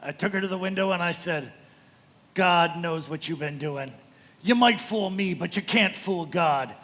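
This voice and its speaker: A grieving husband who has come unhinged, his high voice gravelly and forceful. He speaks with vengeful anguish in a live radio drama, ringing through a reverberant hall. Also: measured pace. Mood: anguished, accusing. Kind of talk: live radio play acting.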